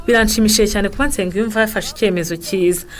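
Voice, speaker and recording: A woman's voice: medium pitch, fairly even intonation, fast talker, natural voice. An actress playing a good-humoured, principled village mother in a radio drama, explaining things patiently. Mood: calm, principled.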